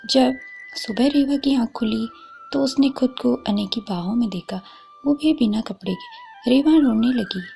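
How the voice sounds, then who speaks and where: A woman narrates a scripted love story as a storyteller. Her voice sits fairly high and she takes her time over each phrase. Faint music plays underneath. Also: unhurried, gravelly, mellow.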